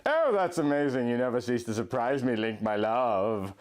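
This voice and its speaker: A man's voice: medium pitch, lively intonation, moderate pace, natural voice. A man doing an adoring young heroine. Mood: admiring. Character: young adult woman heroine, wide-eyed and adoring.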